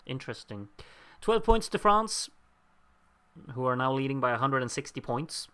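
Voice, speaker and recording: A man's voice: mid-range voice, big pitch swings, normal speed, bright, forceful tone, clean tone. Chatty man commentating a live watchalong. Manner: chatty, wry, reading out viewer names and laughing at himself. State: losing his voice. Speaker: livestream host. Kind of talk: casual live commentary on scoreboard voting.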